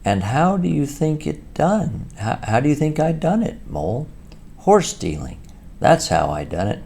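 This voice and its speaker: A man voicing an excitable, boastful male toad in a storybook reading. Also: a little slow, creaky, husky, heavily acted. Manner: brash, boastful, rising to shrill.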